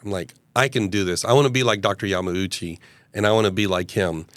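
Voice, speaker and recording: A man's voice: lowish voice, fast, no echo. A man in his fifties from Uganda, a mayoral candidate telling his life story in a casual interview, earnest and expansive with frequent fillers. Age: 50s.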